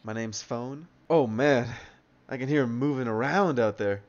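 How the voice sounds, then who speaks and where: A man's voice: medium pitch, normal speed, darkish tone, close to an everyday voice. Guy doing a little nervous cartoon hero creature, earnest, dull lo-fi mic. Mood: worried.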